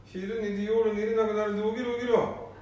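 Man as gruff middle-aged son, high voice, Nanbu dialect, radio play, very echoey. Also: little pitch movement, fast, a little mellow, natural voice. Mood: casual. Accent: Nanbu dialect, northeastern Japan. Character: middle-aged eldest son, gruff, embarrassed by his father. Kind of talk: amateur radio drama acting. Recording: very echoey, distant, faint noise.

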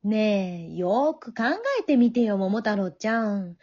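A woman playing a proud, worldly female pheasant with coquettish, gently condescending feminine speech, in an amateur comedy radio drama. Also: medium pitch, measured pace, lightly acted. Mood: coaxing. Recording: dull lo-fi audio.

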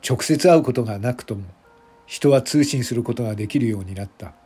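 A man reading scripted narration for an audio drama in a measured, deliberate manner, phrase by phrase. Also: mid-range voice, dark tone, breathy edge, faint music behind.

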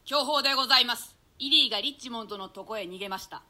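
Woman as bright, rushed knight messenger. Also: a little high. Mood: urgent, alarmed. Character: urgent loyal knight bringing bad news to his king. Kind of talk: classical stage play reading.

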